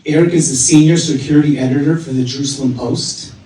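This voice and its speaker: A man's voice: a little high, steady tone, fast, warm tone. A man introducing a guest speaker at a theater event, reading a prepared bio with small hesitations. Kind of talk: formal introduction. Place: theater auditorium. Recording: echoey hall from far off.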